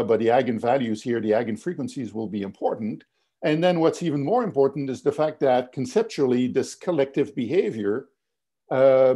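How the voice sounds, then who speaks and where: Older guy teaching physics over a video call, mellow husky voice, lots of "you know"s, going a bit hoarse. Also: mid-range voice. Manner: explanatory, many "you know" fillers and restarts. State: losing his voice, coughing. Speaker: physics lecturer. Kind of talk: webinar lecture over slides. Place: home office, indoors.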